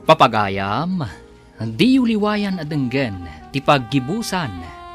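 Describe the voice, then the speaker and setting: A man's voice: mid-range voice, very animated, slow pace, fairly bright tone. A man narrating a radio drama serial in a dramatic announcer style. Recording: guitar music bed.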